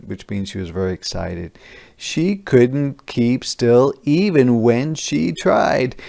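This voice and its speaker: A man reading a picture book aloud as a teacher, pausing to explain words simply in a deliberate storytelling manner. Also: medium pitch, creaky, a little mellow. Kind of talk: interactive children's read-aloud with explanations.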